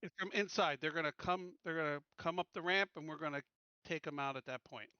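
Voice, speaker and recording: A man playing a tabletop roleplaying game, talking casually and haltingly as he plans, on a slightly dull, dry online voice chat. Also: a little high, bright, forceful tone, smooth, dry recording.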